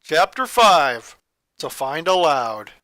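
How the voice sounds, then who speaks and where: A man reading a story aloud as scripted narration, with dramatic, expressive delivery. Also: on the high side, slow pace, no echo. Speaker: narrator, fan fiction reader.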